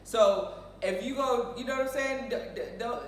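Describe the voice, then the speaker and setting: A woman's voice: a little high, normal speed. An American woman talks from a little way off in a very echoey room with faint noise behind her. She is a show host chatting casually and bluntly, driving opinions home with emphatic repetition. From United States. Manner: emphatic, outspoken, repeats phrases for emphasis. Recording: roomy echo, distant mic, faint noise.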